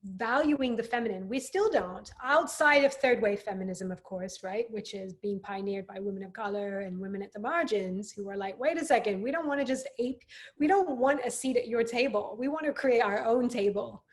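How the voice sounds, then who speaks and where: A woman's voice: fairly high pitch, normal speed. A woman podcast guest speaking in a thoughtful, articulate way during a reflective conversation. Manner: thoughtful, articulate, with frequent agreeing interjections.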